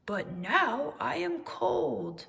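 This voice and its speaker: A woman voicing an anxious, indignant male owl in a children's storybook, easily worked up, with exclamatory, drawn-out words. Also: high-pitched, big pitch swings, creaky, fairly bright tone, big-room sound, exaggerated voice. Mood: worried. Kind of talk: children's storybook read-aloud.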